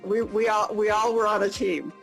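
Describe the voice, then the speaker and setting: An American woman in her fifties hosting a casual live video chat, upbeat and repeating her questions slowly and plainly. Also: medium pitch, steady tone, vocal fry, soft background music, rough recording. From United States. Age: 50s.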